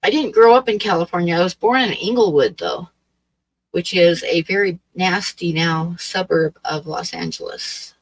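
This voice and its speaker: A woman's voice: on the low side, animated, normal speed, no echo, poor audio. A woman in her late sixties chats loosely to her livestream viewers. Her talk wanders, with plenty of ums and restarts. The sound is dry and close, as from a webcam in a small room. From Oregon, US. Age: 60s. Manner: rambling, hesitant, many fillers. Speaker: livestream host. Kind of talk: casual chat with live chat. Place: small dim room with draped wall.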